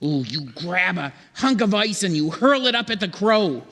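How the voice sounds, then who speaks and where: High-voiced theatrical man narrating. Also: animated, normal speed, sharp tone. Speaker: sketch narrator. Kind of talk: dramatic comic second-person narration, old-time radio. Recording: reverberant live theatre stage.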